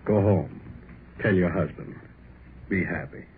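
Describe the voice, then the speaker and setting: An actor playing a genial middle-aged doctor, gentle and reassuring, in 1930s radio drama style. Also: male voice, low-pitched, measured pace, a little hiss or hum. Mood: soothing. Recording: muffled vintage radio, music behind.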